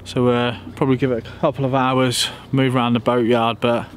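A man's voice: medium pitch, flat delivery, fairly quick. A young man talking casually to camera outdoors as an angling vlogger. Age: young adult. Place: outdoors by a river boatyard. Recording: clearly noisy outdoor ambience.

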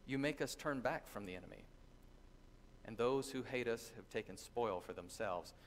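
A middle-aged man giving a sermon from a church pulpit, teaching earnestly with thoughtful pauses and reading scripture aloud. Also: mid-range voice, a little hiss or hum. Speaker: pastor. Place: church sanctuary with congregation. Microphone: distant camera, lectern mic.

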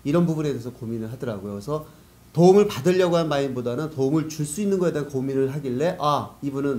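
A male interview guest with a soft, mellow timbre explains things conversationally. His pitch sits in an ordinary middle range for a man. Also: animated, fast. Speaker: guest, business network organizer.

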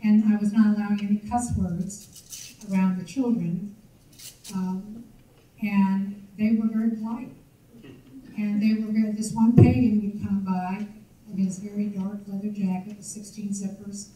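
An older woman tells her memories at a community meeting, rambling and chatty. Her voice is mellow and dark. She is picked up from a distance in a large, echoey banquet hall. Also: medium pitch, little pitch movement, measured pace. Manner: chatty, rambling recollection with fillers. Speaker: audience member sharing memories. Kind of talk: recollecting at a public meeting.

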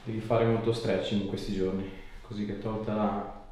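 A man with a lowish voice speaks as a chiropractor during an exam. He gives short, gentle directions and explains things to his patient. The sound is very reverberant and picked up at a distance, with some noise. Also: little pitch movement, moderate pace. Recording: echoey room, distant mic, background noise.

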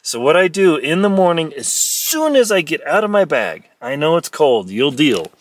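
A middle-aged man, an explorer and adventurer, giving a lively, playful how-to talk from inside a small tent, filmed on a phone at arm's length. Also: on the high side, moderate pace, distant mic. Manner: lively, playful, mock-dramatic asides. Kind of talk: casual how-to vlog. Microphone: phone camera held at arm's length.

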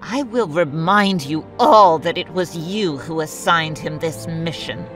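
A woman playing a sardonic, defiant former dark-side warrior in an audio drama, curt and insolent. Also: low-pitched, a little slow. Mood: angry, defensive. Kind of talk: fan audio drama acting. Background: music.

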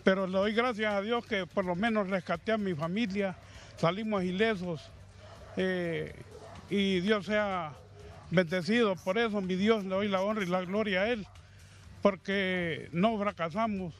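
Middle-aged honduran guy, slow halting, pious talk, faint outdoor noise. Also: high-pitched. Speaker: fire victim interviewed. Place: outdoors by a burned house. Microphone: handheld reporter mic.

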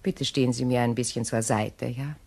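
An actress in a radio play playing an imperious middle-aged widow, genteel, measured and coolly sardonic. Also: female voice, very deep voice, steady tone, creaky, mellow, full character voice. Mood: composed, calculating.

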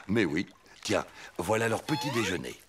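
Casting: gentle papa bear for a preschool cartoon, highly expressive pitch, patient teacher, loud music underneath. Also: male voice, moderate pace. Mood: patient, instructive. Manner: soft-spoken, patient, explanatory. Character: gentle patient father bear, adult male, teaching his cubs. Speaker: cartoon father. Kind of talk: French preschool cartoon dialogue. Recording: music behind, slightly distant voice.

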